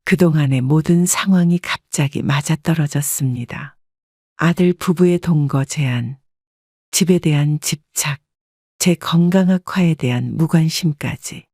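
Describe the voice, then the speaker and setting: A woman narrating a first-person family story in a measured, subdued reading. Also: low-pitched, darkish tone, dry recording, studio quality.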